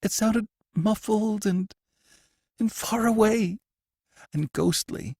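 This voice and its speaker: A man voicing a terrified male prisoner who stammers and pleads in a high, strained, cracking voice, as in an audiobook. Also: slow, warm tone, husky, no echo, studio quality, heavily acted. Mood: sobbing, stammering. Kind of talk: audiobook character voice.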